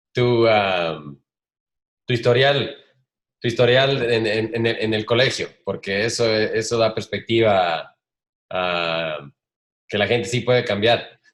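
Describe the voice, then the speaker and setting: A man hosting a podcast, casually prompting his guest with gentle questions. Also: fairly low pitch, slow, long pauses. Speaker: podcast host.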